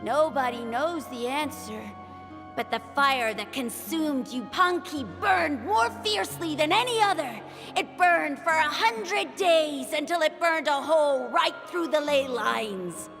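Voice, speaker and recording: A woman voicing a small, friendly village child in a video game who tells stories in a dramatic, deliberate way. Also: high voice, animated, long reverb tail, over music.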